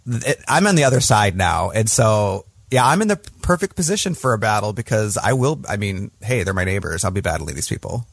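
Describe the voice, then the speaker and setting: A man's voice: fairly low pitch, very animated, fairly quick, creaky, hardly any pauses, husky, dry recording. An American man from Michigan, a podcast guest talking politics in a frank, emotional way, swearing and laughing as he goes. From Michigan, USA. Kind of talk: casual conversation.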